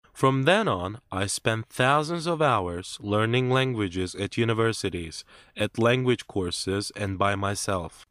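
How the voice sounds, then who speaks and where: Low-voiced man reading a test script. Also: a bit bright, no echo, clean studio sound. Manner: measured, clear reading. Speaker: test narrator and interviewee.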